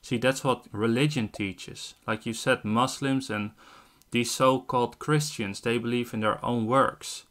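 A man of twenty from the Netherlands speaks as an online preacher. His voice sits at an average pitch. He presses his message insistently and leans on scripture as he debates. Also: fairly even intonation, measured pace, a bit bright. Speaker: street-style online preacher. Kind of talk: evangelistic debate in casual chat.

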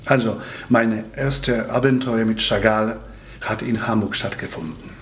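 A man's voice: lowish voice, fairly even intonation, unhurried, heavy background noise. Polish-accented man answering fan questions. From Poland. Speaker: musical theatre actor answering fan questions. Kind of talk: seated video interview. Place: small room with red curtain and patterned wallpaper, indoors.

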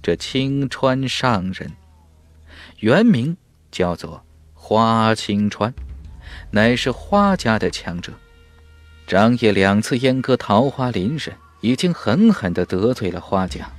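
A man's voice: lowish voice, very animated, takes it slowly, dry recording. A man reading dramatic scripted fantasy narration as an audiobook narrator. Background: faint music.

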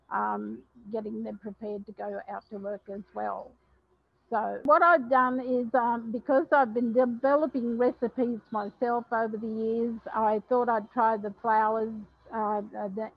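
Older Australian woman chef, slightly high voice. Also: no echo, lo-fi sound. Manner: warm, plain-spoken, many ums and pauses. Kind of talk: casual cooking talk to a webinar. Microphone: headset mic.

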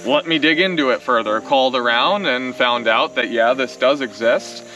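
A young man, a vlog co-host, explaining outdoors in a wooded clearing in a casual, exasperated way, full of "like"s. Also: medium pitch, sharp tone, faint music behind. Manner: exasperated, fast explaining with many "like"s. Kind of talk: casual chat to camera. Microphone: camera-mounted mic, later handheld selfie camera. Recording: outdoor camera audio from a little distance.

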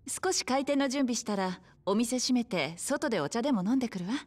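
A woman playing a warm, teasing, motherly bar hostess in a Japanese game drama, easygoing and familiar. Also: on the high side, animated, fairly quick. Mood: relaxed, caring. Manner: easygoing, familiar, gently chiding.

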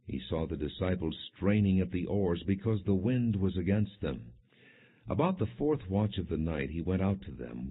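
A man reading scripture as an audiobook narrator in a measured, solemn, clearly articulated way. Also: low voice. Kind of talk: scripted narration, non-dramatized Bible reading. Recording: muffled, narrow-band lo-fi audio.

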